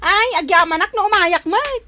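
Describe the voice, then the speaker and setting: A woman playing an excitable, eager elder sister in an Ilocano radio drama, exclaiming as she talks. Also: very high-pitched, normal speed, dry recording, exaggerated voice. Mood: giggly, eager. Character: Manang Elisa, excitable, giggly, food-loving elder sister.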